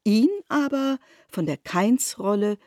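A woman narrating a literary radio drama in deliberate, elevated phrasing. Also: mid-range voice, lively intonation, darkish tone, no echo, clean studio sound.

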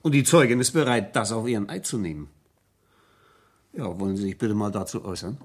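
Husky, stern police inspector. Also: male voice, lowish voice. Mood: stern, official. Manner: formal, deliberate questioning. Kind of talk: 1970s German radio crime drama acting.

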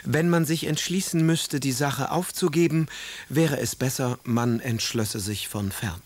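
An actor playing a moody, sardonic aristocratic poet in a German radio play, speaking letters in wry, eloquent phrases. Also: male voice, medium pitch, measured pace, lightly acted. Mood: doubting, impatient.

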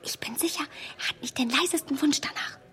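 Self-assured young heroine, fairly high voice, emphatic, hushed, radio play. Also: female voice, a little fast, whispered. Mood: indignant.

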